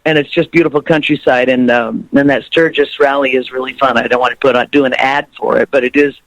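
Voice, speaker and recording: Laughing musician guest, dry close sound. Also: male voice, mid-range voice, steady tone, quick pace, gravelly, no room sound, highs cut off. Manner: rambling, laughs often, warm storytelling. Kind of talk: interview chat.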